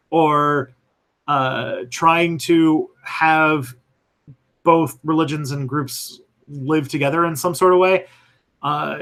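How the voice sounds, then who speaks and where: A man's voice: fairly high pitch, fairly bright tone. A man hosting a live stream and answering viewer questions in a thoughtful, hesitant way, with many fillers and restarts. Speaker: history channel host answering viewer questions.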